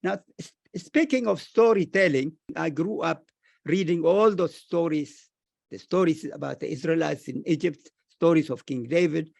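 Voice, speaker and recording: A man speaking earnestly and deliberately as a panelist in a reflective discussion, repeating phrases for emphasis. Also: fairly high pitch, vocal fry, a little mellow, dry recording. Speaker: panelist, scholar.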